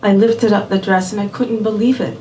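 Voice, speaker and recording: A woman's voice: medium pitch, fairly even intonation, a little hiss or hum. Woman telling a personal story onstage. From born in Puerto Rico, raised in Miami. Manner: warm, unhurried, measured phrasing with Spanish words. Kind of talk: live personal storytelling performance. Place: small venue before a live audience. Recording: roomy venue audio from a distant mic.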